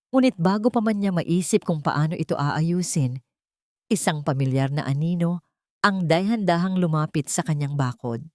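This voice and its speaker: A woman's voice: lowish voice, darkish tone, no room sound, studio quality. A woman narrating a scripted story in a dramatic storytelling style.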